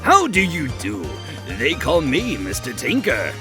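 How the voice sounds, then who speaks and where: A gentle, befuddled old cartoon tinkerer. His delivery swoops widely and takes its time. He is polite and bumbling, easily puzzled. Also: on the high side, huge pitch swings, a little slow. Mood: gently bashful. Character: amnesiac older male tinkerer, gentle and befuddled. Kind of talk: comic dub character acting. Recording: faint music behind the voice.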